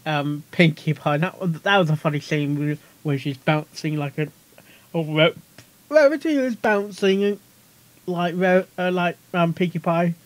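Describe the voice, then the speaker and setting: A reaction video host talks casually in a medium-pitched voice. The commentary rambles and circles back on itself with a giggly manner, recorded dry and close. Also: unhurried, no echo. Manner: rambling, repeats self, giggly.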